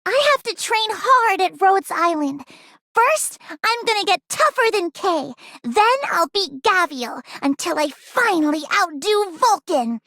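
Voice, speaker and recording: A very high, youthful girl's voice for a video game. She is earnest and eager, like a young trainee. The recording is dry and close. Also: lively intonation, unhurried, sharp tone, no echo, full character voice. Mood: eager, bright. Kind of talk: scripted game character voice lines.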